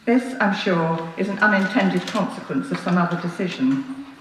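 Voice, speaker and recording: Female public speaker, lowish voice, measured formal reading, reverberant chamber. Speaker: member of the public addressing a council. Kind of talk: prepared formal public address, read aloud. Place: large echoing council chamber. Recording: echoey hall, distant mic, faint noise.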